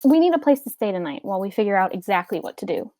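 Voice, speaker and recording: Woman as independent young female mage, matter-of-fact, guarded, mid pitch, dry recording, script table read. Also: sing-song, racing, dark tone, no room sound.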